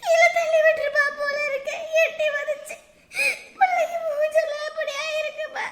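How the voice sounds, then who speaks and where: A woman's voice: very high-pitched, a little fast, sharp tone. A woman playing a distraught, aggrieved young mother in a family drama, tearful and complaining in a wailing manner. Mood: frantic, wailing. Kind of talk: melodramatic family drama acting.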